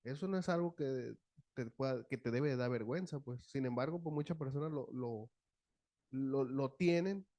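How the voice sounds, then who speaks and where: A man's voice: mid-range voice, normal speed, dark tone, no room sound. A man from the audience asking a question, hesitant and searching for words.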